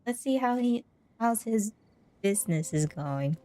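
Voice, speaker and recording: A woman's voice: a little high, expressive, measured pace, dark tone. A woman giving casual commentary as she streams a video game. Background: game music.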